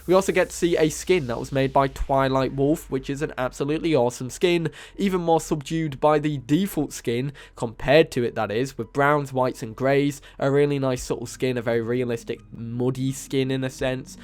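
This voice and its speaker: A man with a cold, sounding hoarse and nasal, enthusiastically narrating a game mod showcase as host of his channel. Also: medium pitch, fairly even intonation, moderate pace, hardly any pauses, a little mellow. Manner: enthusiastic, casual, repeats praise. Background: faint music.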